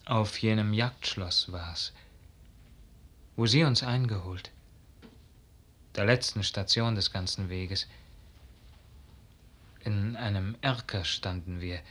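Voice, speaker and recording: Wistful young lover of noble birth, low voice, very measured, lyrical 1950s radio acting. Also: male voice, fairly even intonation, a bit bright. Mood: wistful rapture. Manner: yearning, lyrical declamation. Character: lovesick, wistful young nobleman, earnest and restless.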